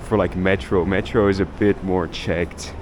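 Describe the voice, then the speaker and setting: A man's voice: deep voice, steady tone, unhurried. A young man from Belgium, rambling casually as he vlogs his travels, swearing now and then. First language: Dutch. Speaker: travel vlogger. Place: outdoors in a city street. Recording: faint street noise outdoors.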